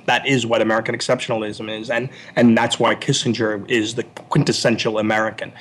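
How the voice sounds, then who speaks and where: A man with a husky, medium-pitched voice talks as an interview guest. He explains like a scholar, analytical and somewhat halting, doubling back on words as he builds each point. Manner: analytical, halting with repeated word starts.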